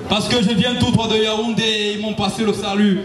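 High-voiced gospel rapper shouting live. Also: male voice, fairly even intonation, fairly quick. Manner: shouted, rhythmic rap delivery with crowd call-outs. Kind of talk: live gospel rap performance. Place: outdoor night concert stage. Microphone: handheld mic. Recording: roomy, distant live concert audio with music. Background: backing music.

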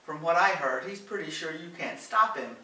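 A man reading the part of a relentless, warm-hearted older woman in a storybook, insistent and pleading. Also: a little high, lively intonation, normal speed, roomy sound, recorded from a distance, voice pushed up, poor audio.

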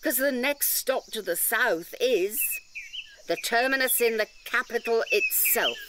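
A woman's voice: on the high side, a little slow, sharp tone, a little hiss or hum. A woman playing an indignant nature-reserve campaigner in an audio drama, lecturing emphatically with pedantic detail and rhetorical questions. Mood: wound up.

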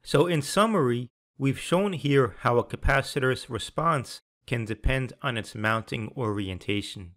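A man reads a scripted technical walkthrough in a measured, even way. His voice sits at an ordinary middle pitch. It is a steady voice-over for a product tutorial. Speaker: sales and applications engineer. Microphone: voice-over over slides and software screens.

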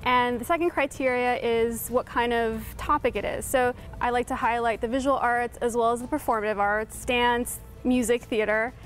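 A woman in her thirties, from Chile, talks through her work in a relaxed, friendly studio interview. Her voice sits a little high. Faint music lies under it. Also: moderate pace. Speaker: guest curator. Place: dark TV studio.

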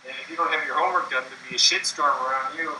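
Teasing dad heard from across the room. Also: male voice, fairly high pitch, steady tone, very fast, pushed and bright. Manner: teasing, scolding about homework. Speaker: dad of a player. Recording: distant, echoey, noisy room.

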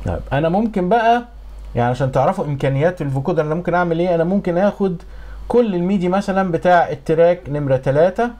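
A middle-aged man with an Egyptian accent giving a casual software tutorial as an audio engineering instructor in a small home studio. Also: high-pitched, rapid-fire.

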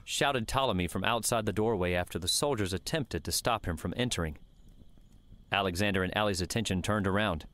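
Low-voiced man narrating an audiobook. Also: fairly quick, fairly bright tone. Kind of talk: scripted fiction narration.

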